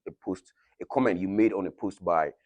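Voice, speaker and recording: Deep-voiced young man hosting an interview. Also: brisk, a little mellow, no room sound. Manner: hesitant, many ums and restarts. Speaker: host. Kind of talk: casual interview.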